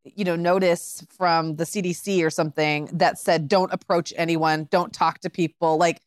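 A woman's voice: lowish voice, fairly even intonation, moderate pace, clean tone, no room sound. A woman co-hosting a podcast in casual conversation, easygoing and optimistic, with frequent "like"s and a lingering cough after a flu. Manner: easygoing, optimistic, says "like" often. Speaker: podcast co-host.

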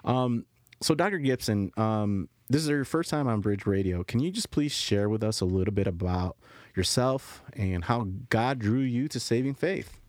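Man, podcast host, casual, halting, dry close-miked sound. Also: mid-range voice, animated, a little mellow, dry recording. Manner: friendly, halting, many fillers. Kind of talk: casual interview chat.

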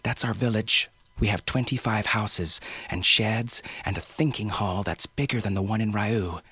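A man's voice: on the low side, normal speed, bright, forceful tone, husky, no room sound, voice pushed up. A man voicing a cheerful, chattering five-year-old island girl. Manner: eager, breathless chatter.

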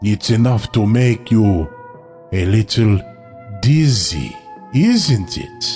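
A man playing a seductive vampire who lulls a victim with drawn-out, hypnotic, savoring speech in a comic horror sketch. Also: on the low side, sing-song, darkish tone, soft background music. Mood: hypnotic, soothing. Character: slow, seductive vampire Vlad hypnotizing his wine-shopping victim.

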